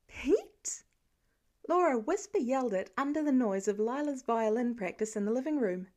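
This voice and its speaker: A woman reading scripted fiction aloud as an expressive audiobook narrator. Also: a little high, fairly quick.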